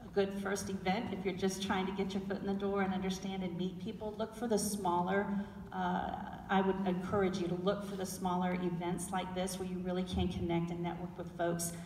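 A middle-aged woman with a gentle Southern accent talks easily on a handheld mic, filling her sentences with "uh" and starting them over. The sound is caught from far back in a large hall, so her voice carries a long, roomy echo and a faint hum of ambience. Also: on the low side, brisk. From South Carolina. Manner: relaxed, chatty, with frequent fillers and restarts. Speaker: panel guest. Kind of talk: onstage fireside chat. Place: large indoor event hall with an audience. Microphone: handheld mic, recorded by a far camera.

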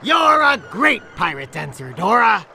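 Jolly pirate pig, gravelly, bouncy lively pitch, game voice over a noisy capture. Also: male voice, measured pace, full character voice. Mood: cheery. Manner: hearty pirate talk, coaching a dance. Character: cheerful male pirate pig, adult. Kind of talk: children's video game voice acting.

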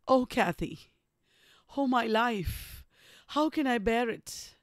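A woman's voice: fairly high pitch, expressive, takes it slowly, no echo, clean studio sound, voice pushed up. A woman reading the part of an anguished, savage, passionate male lover in an impassioned, accusing manner from a classic novel.

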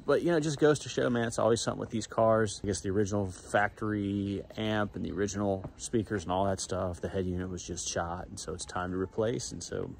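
A man's voice: fairly low pitch, steady tone, fast talker, vocal fry, few pauses, a little hiss or hum. A man hosting a car vlog, talking casually and informally as he explains his project. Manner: informal, slangy. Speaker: car channel host working on his own Porsche builds.

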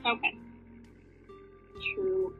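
A woman's voice: very slow, soft background music. A woman reading fan fiction aloud casually, with informal, sweary joking asides. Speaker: fan fiction reader and commentator. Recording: thin, phone-like lo-fi audio.

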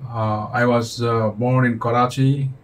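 A man in his forties from Bangladesh, a doctor, answering interview questions plainly and calmly in an office, with slightly English. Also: medium pitch. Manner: plain, measured, slightly non-native English. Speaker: physician, interview guest.

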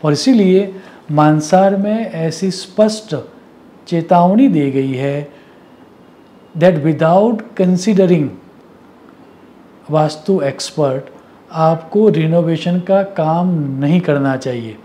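A man gives a measured, didactic talk to camera, mixing in English words. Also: fairly high pitch, warm tone. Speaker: Vastu consultant presenting. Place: indoor office or study. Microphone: lapel mic.

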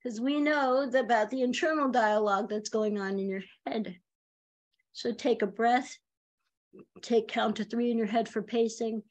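A woman in her fifties, a communication coach, giving chatty, animated speaking advice with a high voice over a video call from home. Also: moderate pace, rough recording. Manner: chatty and animated, laughs often. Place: home room, indoors. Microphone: video call webcam.

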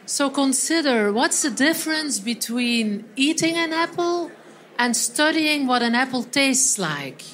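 A woman's voice: high voice, lively intonation, unhurried, a bit bright, a little hiss or hum, low-quality recording. A woman from Belgium co-presenting a live training workshop, explaining steps in slightly non-native phrasing. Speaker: seminar trainer. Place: event hall.

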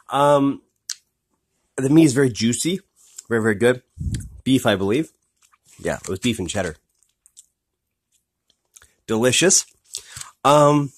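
A man talks through a snack review from behind his phone camera, hesitating with frequent ums and restarts. His voice sits at an ordinary middle pitch, and he circles back to the same praise over and over in an easygoing, unscripted way. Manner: hesitant, many ums, repeats "really, really good". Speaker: product reviewer. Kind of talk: casual food review vlog.

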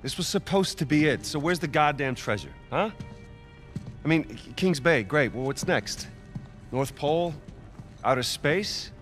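An actor playing a restless, sardonic adventurer in a cinematic video game, speaking in a wry way. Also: male voice, fairly high pitch, lively intonation, a little slow, a bit bright. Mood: frustrated. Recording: music bed behind dialogue.

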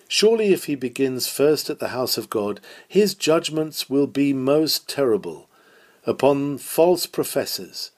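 A man's voice: mid-range voice, darkish tone. Solemn man reading an old sermon. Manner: solemn, oratorical, exhortatory delivery. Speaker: reader of historical sermons. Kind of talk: dramatic reading of a Victorian sermon.

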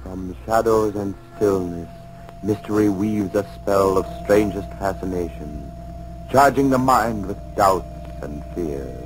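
A man's voice: low-pitched, a little slow, low-quality recording. A man narrating as announcer of a 1940s radio mystery, solemn and theatrical. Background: music.

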